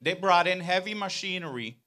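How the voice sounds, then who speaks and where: A man's voice: high-pitched, animated, fairly quick, pushed and bright. A man in the audience asking a pointed question at a town hall into a handheld mic, earnest and building in emphasis. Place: large outdoor venue with audience.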